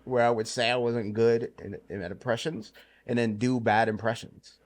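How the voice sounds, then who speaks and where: A man's voice: mid-range voice, steady tone, normal speed. A young man hosting a podcast, talking in a laid-back, slangy way and laughing often. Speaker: podcast host. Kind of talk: casual chat.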